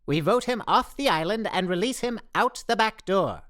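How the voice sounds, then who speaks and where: A woman's voice: medium pitch, animated, moderate pace, fairly bright tone, close to an everyday voice. A woman playing a pedantic, self-important zombie theorist in a radio play, with formal, wordy diction.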